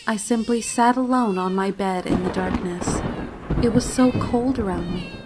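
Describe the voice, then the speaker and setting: A frightened little filly, voiced by a woman, tells a horror story in solemn, dramatic tones. The delivery is emotive and trembling, at a medium pitch, with clear noise and effects behind it. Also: normal speed, lightly acted. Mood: fearful. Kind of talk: first-person dramatic fanfiction horror narration. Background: storm and animal sound effects, noise.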